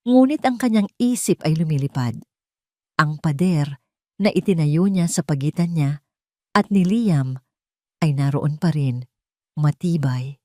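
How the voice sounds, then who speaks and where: A woman narrates a scripted romance drama as a storyteller. Her voice is slightly low, and the recording is dry with very little room sound. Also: expressive, unhurried, long pauses, warm tone, no room sound.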